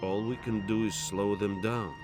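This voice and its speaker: A man acting a weary-hearted, resigned resistance fighter in a game cutscene. His voice is very low and he speaks with solemn fatalism. Music plays clearly behind him. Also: fairly even intonation, normal speed, natural voice. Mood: grim, resigned. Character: grim, resigned male resistance fighter facing defeat. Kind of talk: scripted game cutscene dialogue.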